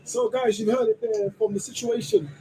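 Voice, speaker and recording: A man's voice: high-pitched, fairly even intonation, normal speed, dark tone, a little hiss or hum, low-quality recording. A man vlogging as he walks a city, chatting with a stranger outdoors. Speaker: traveling vlogger. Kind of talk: street interview.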